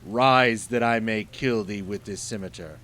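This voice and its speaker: A man's voice: a little slow, fairly bright tone. A man voicing a huge, menacing ancient genie. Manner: booming, menacing, archaic speech.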